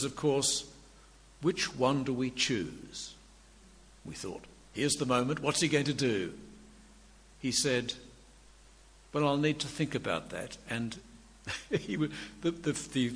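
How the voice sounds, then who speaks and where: An older man preaching a sermon with a quiet voice and dry humour. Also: medium pitch. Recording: long hall reverb, faint room noise.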